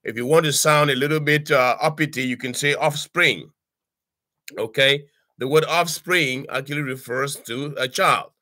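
Bright-voiced man teaching, hesitant and halting. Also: mid-range voice, no room sound. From Cameroon. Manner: halting, many "uh"s and restarts, frequent "okay?" check-ins. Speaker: language tutor. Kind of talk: informal online language lesson. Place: home study with bookshelves. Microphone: webcam on a video call.

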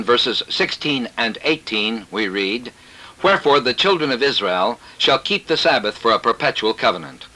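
A man preaching a scripted sermon in a formal, declarative manner, reading scripture and citations in measured phrases, on an old cassette tape recording. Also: medium pitch, pushed and bright, poor audio.

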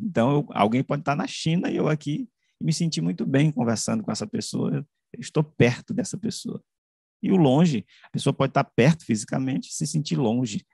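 A man with a Brazilian accent giving an explanatory lecture over a video call, haltingly rephrasing as he goes. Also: mid-range voice, gravelly, darkish tone, husky, no room sound, studio quality. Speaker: academic presenter. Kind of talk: explanatory online lecture presentation with slides. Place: indoor room, online video call. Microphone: video call microphone.

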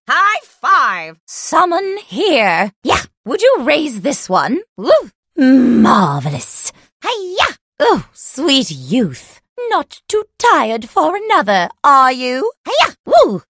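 A woman voicing an eccentric old granny witch in a video game, theatrical, doting and teasing with drawn-out exclamations. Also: high-pitched, wildly swooping pitch, fairly bright tone, no echo, studio quality, full character voice. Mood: spirited, battle-ready. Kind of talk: video game character voice lines.

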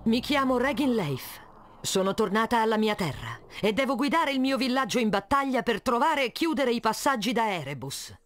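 A woman's voice: a little high, expressive, normal speed, slight background noise. A woman voicing a determined Norse village heroine narrating her tale in a video game. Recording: loud music under the voice.

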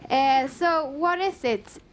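A young woman with a southern Swedish accent speaks on a headset mic in a dim meeting room. Her voice sits fairly high, and she talks in a chatty, informal way with frequent um and uh fillers and light self-deprecating jokes. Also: slow pace, crowd noise. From Sweden. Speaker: content manager giving a meetup talk. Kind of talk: conference presentation.

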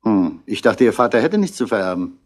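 An actor playing a calm, probing police commissioner in a 1960s radio play, measured and drily wry. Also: male voice, lowish voice. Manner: measured, questioning, dry.

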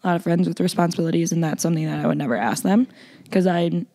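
A young woman talks in a loud, blunt, casual way, filling her sentences with "like." Her voice sits a little low for a woman. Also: very fast, creaky. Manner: loud, blunt, frequent "like" fillers. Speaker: podcast guest, makeup artist. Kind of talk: casual interview chat.

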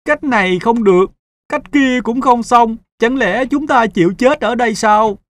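A man voicing an earnest, emotional young son in an animated fairy tale. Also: very high voice, a little slow, dry recording. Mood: alarmed.

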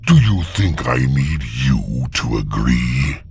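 Gravelly, abyss-deep arrogant god. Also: male voice, big pitch swings, a little mellow, soft background music, full character voice. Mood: threatening. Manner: slow, pompous, imperious. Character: arrogant, domineering ancient bird-headed male god. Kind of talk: cinematic game acting.

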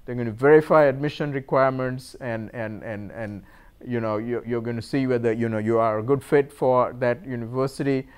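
Smooth-voiced man lecturing with many fillers. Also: mid-range voice, fairly even intonation. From Sri Lanka. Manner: measured, hesitant with many fillers and repeated words. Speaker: university professor giving a talk. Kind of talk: informal lecture presentation. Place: lecture room in a cultural center.

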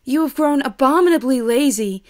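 High-voiced, proud young lady. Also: lively intonation, normal speed. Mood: indignant. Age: young adult. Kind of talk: audio drama acting.

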